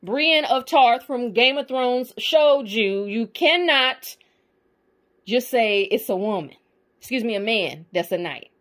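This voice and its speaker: A woman's voice: fairly high pitch, lively intonation, slow, fairly bright tone. A woman in a casual monologue to her viewers, emphatic and profane, often checking in with the audience. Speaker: spiritual vlogger.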